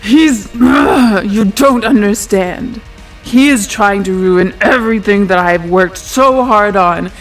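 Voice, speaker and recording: A performer playing an obsessive, secretive, wounded male genius in a hushed, intense, halting manner for a tabletop roleplay. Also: medium pitch, soft background music, lightly acted.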